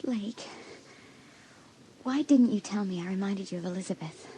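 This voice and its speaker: A woman's voice: mid-range voice, moderate pace, dark tone, lightly acted. An actress playing an anxious, wistful and sensitive teenage girl in a dramatised audiobook. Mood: hurt, hesitant. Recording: audiobook tape with faint noise.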